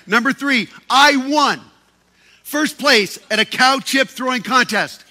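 Male speaker for a group talk: playful and self-mocking, bright and sharp in tone, stumbles and repeats as he goes. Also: very high-pitched, takes it slowly. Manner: playful, joking, often repeats and restarts words. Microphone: handheld microphone.

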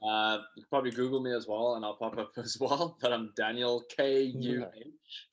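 A man's voice: medium pitch, fairly even intonation, moderate pace. A man speaking casually as a guest performer on a podcast. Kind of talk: casual chat.

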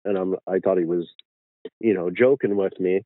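A man with an Irish accent, a guest telling his story slowly with many fillers and restarts. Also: low-pitched, steady tone, no room sound, narrow, tinny audio. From Ireland.

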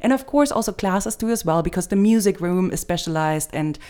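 A young woman from Germany, whose first language is German, talks in a fairly low voice. She explains things conversationally, stepping through examples as she goes. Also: animated, brisk, dark tone, clean tone. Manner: explanatory, gives many examples.

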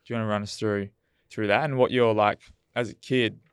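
A man's voice: on the low side, steady tone, a little fast, smooth, no room sound, clean studio sound. A man co-hosting a podcast, asking long, rambling questions full of restarts. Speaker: podcast co-host. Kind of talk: casual interview chat.

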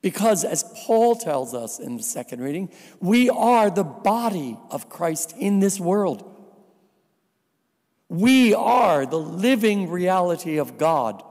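A man from New Jersey preaching a sermon as a visiting priest, slow and deliberate with dry asides. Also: high-pitched, big pitch swings, darkish tone. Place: church. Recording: reverberant church hall.

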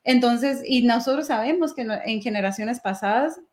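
A woman's voice: on the high side, normal speed. A young adult woman speaking as an interview host, conversational and engaged, often adding short tags that seek agreement.

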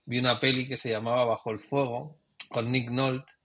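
A man's voice: medium pitch, level tone, moderate pace, fairly bright tone. A middle-aged man from Spain, a professional photographer, talking reflectively about his career in an interview, with trailing restarts. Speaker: guest photographer. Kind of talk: conversational interview.

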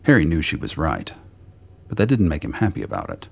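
A man's voice: a bass voice, huge pitch swings, a little fast, gravelly, slightly rough. A man narrating a scripted radio drama.

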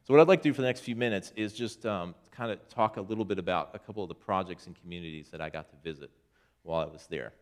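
Male church elder, deep low voice, casual slideshow report with many restarts. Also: rapid-fire. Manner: conversational, with many restarts, pointing at photos. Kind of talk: informal presentation to a congregation.

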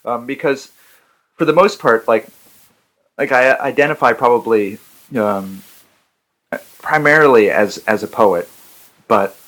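A man, a guest poet on a podcast, talking in a halting, self-mocking way with a voice roughened and gravelly after a rough week. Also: medium pitch, fairly bright tone, low-quality recording. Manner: dry self-mocking humor, halting with fillers. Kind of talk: casual podcast chat.

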